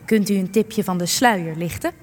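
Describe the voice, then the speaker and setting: A woman's voice: medium pitch, moderate pace, a little mellow, lightly acted. A young actress playing a courteous, formal female interviewer in a radio play. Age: young adult. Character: polite young female interviewer, embarrassed by her colleague. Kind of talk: Dutch-language radio play acting. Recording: long echoing hall, music underneath.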